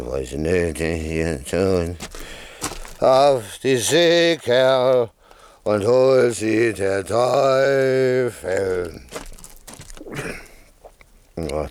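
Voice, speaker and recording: Radio drama: boozy, bossy shipwrecked servant, deep register, slow theatrical drawl. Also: male voice, darkish tone, smooth. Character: drunken, blustering shipwrecked servant with a wine bottle. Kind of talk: classic radio play acting.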